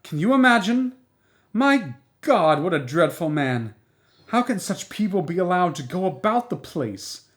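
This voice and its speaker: A man voicing a young woman, high-pitched and exclaiming, in a read-aloud story. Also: very animated, measured pace. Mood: outraged. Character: young woman, laughing then furious.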